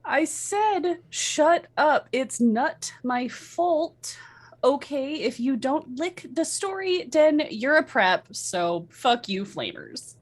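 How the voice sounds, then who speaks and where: High-pitched, slow-paced brash woman reader. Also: animated. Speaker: guest reader in a group reading. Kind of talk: dramatic reading of author's notes with asides.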